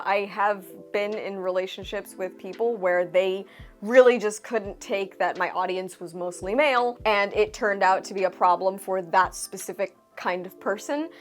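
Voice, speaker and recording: Woman youtuber doing a q&a, grew up speaking spanish, blunt and honest, swears a bit, light music behind. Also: mid-range voice. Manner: candid, blunt, occasional swearing. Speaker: YouTuber and cosplayer. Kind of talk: casual Q&A vlog.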